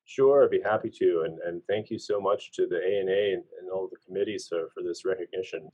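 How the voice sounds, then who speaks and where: Deep-voiced man, polite and measured. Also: a little mellow, lo-fi sound. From Georgia, US. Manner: polite, measured, a few restarts. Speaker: neurologist, guest.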